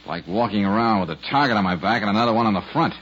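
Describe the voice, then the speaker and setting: A man's voice: fairly low pitch, racing, fairly bright tone, lightly acted. An actor playing a wry, wisecracking private investigator in a 1940s radio detective drama, with clipped tough-guy banter. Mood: sardonic. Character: wisecracking male private investigator, wry, cool under threat. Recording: muffled, noisy vintage radio recording.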